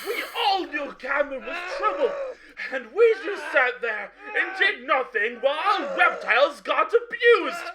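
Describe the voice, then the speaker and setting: A man's voice: very high-pitched, big pitch swings, unhurried, a crowd in the background, full character voice. A man playing an exasperated but loyal barbershop owner in a radio play, speaking earnestly and emphatically. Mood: straining, impassioned.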